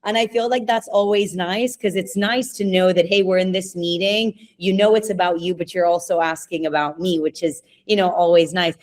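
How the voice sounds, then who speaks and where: Chatty woman guest, lowish voice. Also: steady tone, moderate pace, few pauses. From Spain. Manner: chatty, laughs often, asks herself questions. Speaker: podcast guest. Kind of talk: casual interview answers.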